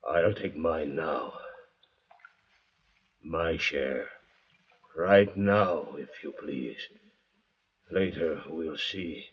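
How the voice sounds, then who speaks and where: Slow, low-voiced menacing convict. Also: male voice, breathy edge, exaggerated voice. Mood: mock-polite menace. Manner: mock-polite, slow, sneering. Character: menacing, sarcastic escaped convict crazed by thirst. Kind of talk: old-time radio drama acting. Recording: muffled vintage radio recording.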